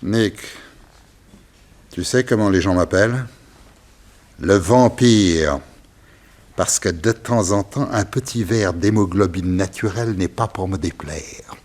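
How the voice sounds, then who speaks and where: Husky, menacing crime boss. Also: male voice, sits low, slow, pauses often, a little mellow. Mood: cruel, menacing. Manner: menacing, gloating, theatrical. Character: sinister male crime boss who drinks blood. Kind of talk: 1960s French radio serial acting.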